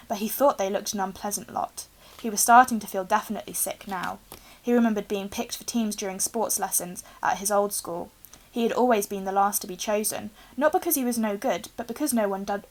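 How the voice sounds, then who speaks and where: A young woman reads a storybook aloud in an expressive narrating style. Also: medium pitch. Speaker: reader, teacher. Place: small room indoors with bookshelves.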